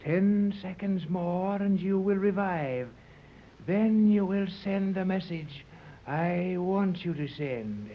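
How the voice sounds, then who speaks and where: A slow, measured villain of 1930s radio drama, imperious and menacing. He speaks formally and condescendingly to his captives. The old recording is dull and muffled. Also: high-pitched, a little hiss or hum. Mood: gloating menace. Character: imperious villain commander of island with ray gun. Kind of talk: 1930s radio serial acting.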